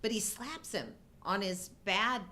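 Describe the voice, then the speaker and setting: A middle-aged woman co-hosting a live show, chatting casually about movies and joking in a deadpan way. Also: medium pitch, a little slow. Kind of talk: casual humorous movie-discussion chat.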